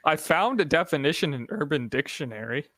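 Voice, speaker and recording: A man's voice: a little high, expressive, a little fast, a bit bright, no room sound. A man playing a tabletop game, joking around with the group in casual banter. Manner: joking, playful. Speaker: player.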